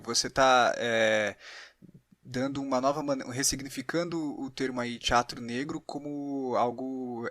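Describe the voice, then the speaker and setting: Bright-voiced Brazilian man, dry close sound. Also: medium pitch, no room sound. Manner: hesitant, rephrases questions as he goes. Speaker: podcast interviewer. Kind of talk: conversational interview.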